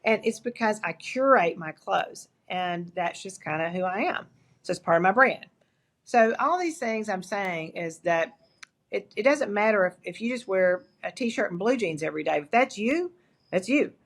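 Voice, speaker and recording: An older woman speaks in an East Texas drawl, her pitch in an ordinary middle range. She talks candidly and with energy, often starting a phrase over as she tells her stories in an interview. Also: moderate pace. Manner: candid, animated storytelling with frequent restarts. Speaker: guest, agency founder. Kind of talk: interview conversation.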